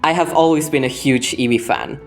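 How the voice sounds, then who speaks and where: Low-pitched young woman with a Spanish accent. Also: brisk. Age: young adult. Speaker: gaming video host. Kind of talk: scripted voice-over narration of gameplay. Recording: background music under the voice. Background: music.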